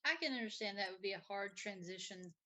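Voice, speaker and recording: Bright-voiced woman hosting a casual chat. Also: medium pitch, brisk. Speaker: live show host. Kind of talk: casual chat, reading out viewer comments.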